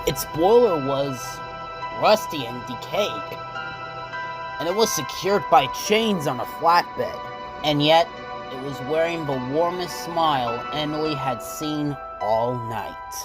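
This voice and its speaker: A woman's voice: low voice, expressive, takes it slowly. A woman reading scripted storybook narration, with music playing behind her.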